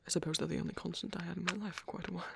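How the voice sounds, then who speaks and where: A man voicing a gentle, caring vampire priest in a soft, hesitant ASMR roleplay, his breathy voice often dropping to a whisper. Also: sits high, fairly even intonation, racing, background noise. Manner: hesitant, reassuring, tender.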